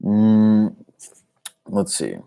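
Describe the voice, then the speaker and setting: Male host, from Colombia, Spanish first language, lower voice, casual conversational live Q&A delivery. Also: lively intonation, extremely slow, creaky, dark tone, clean tone. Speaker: host of an MMA live Q&A show. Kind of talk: casual unscripted live Q&A commentary.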